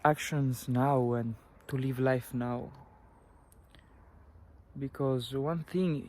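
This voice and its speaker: A young man from Italy with an Italian accent, talking earnestly to camera and sharing advice, often pausing and restarting his sentences. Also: mid-range voice. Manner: earnest, halting, with many restarts and fillers. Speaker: vlogger. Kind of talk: personal advice talk to camera.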